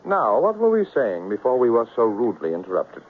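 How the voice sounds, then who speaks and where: An actor playing a coolly arrogant, unflappable big-game hunter in a 1970s radio drama, with a clipped, nonchalant manner. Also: male voice, medium pitch, huge pitch swings, a little fast. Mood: cool bravado. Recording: tinny, noisy 1970s radio recording.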